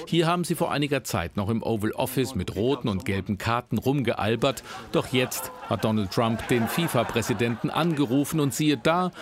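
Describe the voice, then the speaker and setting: A man's voice: medium pitch, noisy background. A man reading a scripted news report voice-over.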